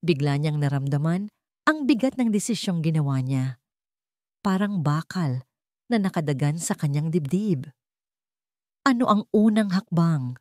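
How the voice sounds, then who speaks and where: Low, mellow woman narrating a story. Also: expressive, normal speed, dry recording, clean studio sound. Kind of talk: scripted dramatic narration.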